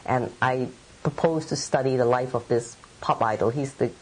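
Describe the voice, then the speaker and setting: A woman's voice: a bass voice, moderate pace, breathy edge, poor audio. A middle-aged woman writer from Hong Kong, answering interview questions in a thoughtful, meandering way with many fillers. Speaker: writer and editor.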